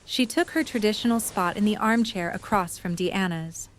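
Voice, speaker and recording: A woman's voice: medium pitch, moderate pace, slight background noise. A woman reading scripted story narration for an audio drama.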